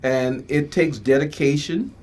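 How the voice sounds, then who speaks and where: A man's voice: a little high, a little slow, a little mellow. Male motivational talk show host from the Bahamas. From Bimini, Bahamas.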